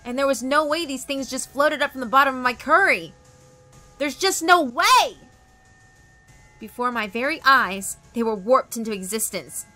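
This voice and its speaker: A woman's voice: high voice, expressive, moderate pace, sharp tone, voice pushed up. A woman voicing a tense, stubborn schoolgirl in a dramatic horror visual novel reading. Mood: horrified. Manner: intense, defiant, dramatic. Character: Midori, tense, stubborn schoolgirl lead of a play. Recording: background music under the voice.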